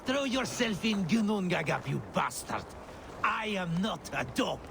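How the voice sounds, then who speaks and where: An actor playing a hot-tempered young Viking warrior in a video game, scornful and combative. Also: male voice, high voice, big pitch swings, measured pace, heavily acted. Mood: furious. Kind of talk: dramatic video game cutscene acting. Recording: game audio with clear background noise.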